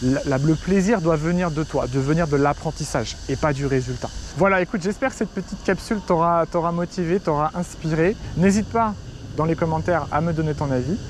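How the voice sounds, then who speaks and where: A young French man speaks chattily and directly to the viewer, his voice sitting a little high for a man. He is recorded outdoors, with a faint hiss of insects and ambience behind him. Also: fairly quick. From France. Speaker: Chinese teacher. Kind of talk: casual talking-head advice video. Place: outdoors in front of a city skyline.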